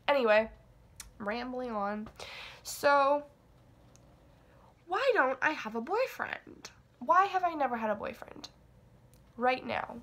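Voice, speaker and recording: Rambling young woman with a gravelly edge. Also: on the high side, fairly bright tone. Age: young adult. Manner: rambling, hesitant, self-deprecating with fillers. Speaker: vlogger. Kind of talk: casual confessional vlog.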